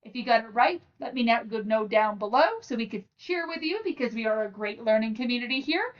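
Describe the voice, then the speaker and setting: A woman with a fairly high voice walks learners through a lesson one step at a time. Her delivery is informal and encouraging, addressed straight to the listener. The recording sounds a little dull, as if she sits some way from the mic. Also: expressive, fairly quick, recorded from a distance. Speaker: teacher and channel host.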